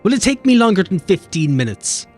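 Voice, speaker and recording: A man's voice: sits high, breathy edge, faint music behind. A man streaming a game, chatting casually and swearing as he bickers with chat. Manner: animated, swearing, quick banter with chat.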